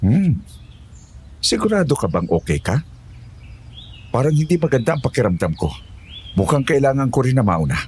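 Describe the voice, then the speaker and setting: A man's voice: medium pitch, sing-song, normal speed, long pauses, darkish tone, a little hiss or hum. A man playing an older villager in an audio horror drama, solicitous yet suspicious, with musing hums and probing questions. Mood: concerned, cautioning.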